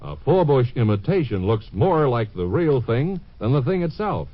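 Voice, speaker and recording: A man playing a brash bargain pitchman with emphatic, deadpan salesmanship in a 1950s radio ad parody. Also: normal speed, dry recording. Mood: mock-earnest, emphatic. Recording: muffled 1950s radio broadcast.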